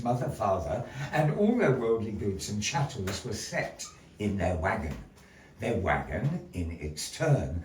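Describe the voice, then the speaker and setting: A man's voice: on the low side, a little mellow. A man presenting a puppet show as a grandiose, old-fashioned showman, telling stories theatrically to a live audience. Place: gallery live performance, recorded from a distance. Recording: roomy, distant mic with background noise.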